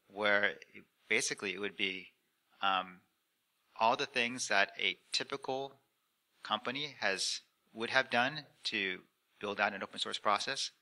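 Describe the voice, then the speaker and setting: A man with a fairly low voice and a very bright, forceful edge speaks on a conference panel. He explains things off the cuff in measured, halting phrases, posing questions as he goes into a handheld mic. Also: gravelly. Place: conference room panel before an audience. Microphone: handheld microphone.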